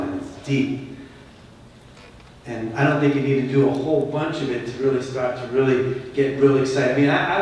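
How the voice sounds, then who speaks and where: A man's voice: mid-range voice, a little mellow, slight background noise. A man with a Boston accent giving a conversational, emphatic keynote at a conference and putting questions to the audience. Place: large conference hall. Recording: echoey hall, voice from far off.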